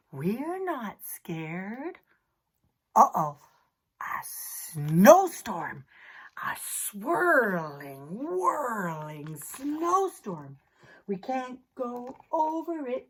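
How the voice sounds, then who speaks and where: A woman telling a children's picture-book story in an expressive, theatrical way, drawing out words for young listeners. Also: sits high. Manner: addresses young listeners directly. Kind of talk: animated children's story time, chanted picture-book reading.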